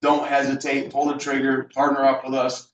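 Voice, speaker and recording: A man giving a relaxed, reassuring pitch to guests at a business meeting. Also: moderate pace, a little hiss or hum. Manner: laid back, reassuring. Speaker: sales rep addressing guests. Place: meeting room with an audience. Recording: dull, echoey room, far from mic.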